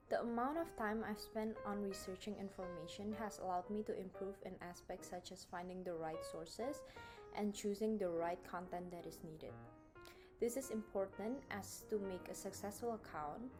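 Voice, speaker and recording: A teenage girl from Indonesia giving a scripted school project presentation, earnest and read aloud, her phrases trailing off at the ends. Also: medium pitch. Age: teens. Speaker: student presenter.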